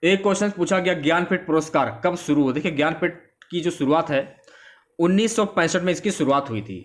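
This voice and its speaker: A man's voice: on the high side, brisk. A man giving an informal exam-prep lecture, explaining questions and answers and repeating key phrases for students.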